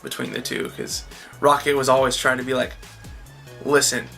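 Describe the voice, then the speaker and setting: Guy casually chatting through a movie review, bit of vocal fry, music underneath. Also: mid-range voice, very animated, normal speed. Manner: informal, conversational. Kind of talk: casual film discussion.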